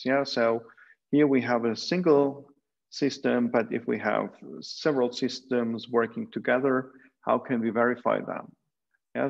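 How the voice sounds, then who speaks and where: A middle-aged man giving an academic keynote over a video call, measured and full of fillers and restarts. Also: mid-range voice, gravelly, darkish tone. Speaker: keynote speaker. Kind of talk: academic conference talk. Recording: dull lo-fi video call audio.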